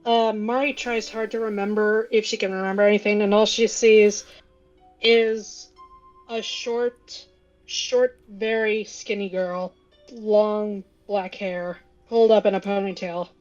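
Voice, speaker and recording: A woman's voice: mid-range voice, flat delivery, a little slow, darkish tone, soft background music. A woman playing a tabletop roleplay, improvising what her character does, on a dull lo-fi mic. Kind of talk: improvised third-person roleplay narration.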